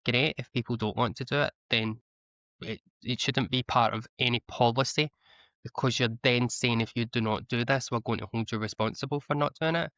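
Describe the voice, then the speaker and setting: A Scottish man in a candid interview, talking earnestly and often restarting and repeating himself. His voice sits at an ordinary middle pitch, recorded dry with a slightly muffled, lo-fi sound. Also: moderate pace, fairly bright tone, dry recording. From Scotland. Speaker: guest. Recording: slightly dull, lo-fi audio.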